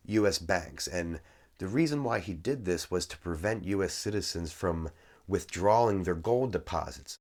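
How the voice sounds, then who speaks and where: A man with a very low, deep voice, worn hoarse by a cold, delivers an opinionated monologue straight to his viewers. He sounds indignant and certain, firing off sharp judgments, then stumbling and restarting mid-phrase before pressing on. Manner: indignant, opinionated ranting with frequent self-restarts. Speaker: video creator. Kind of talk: casual monologue on economic history.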